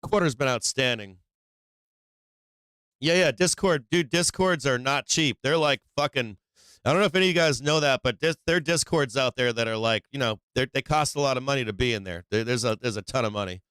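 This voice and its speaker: This is a man with a clean, resonant voice of medium pitch, recorded dry with hardly any room around it. He talks like the host of a live trading stream, moving loosely between chat and market commentary. His language is blunt, slangy and freely profane. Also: a little fast, fairly bright tone, clean tone, no echo.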